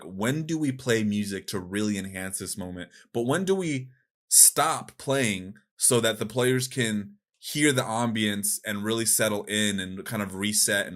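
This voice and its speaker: A man chatting casually as a podcast co-host, with an animated, informal manner. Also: on the low side. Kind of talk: casual podcast chat about video games.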